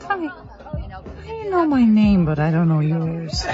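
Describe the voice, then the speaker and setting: Actress as low-voiced, dry party guest. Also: female voice, wildly swooping pitch, a little slow. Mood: wistful. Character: cool, dry married woman at a party. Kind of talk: audio drama acting. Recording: dull lo-fi, music and party crowd behind.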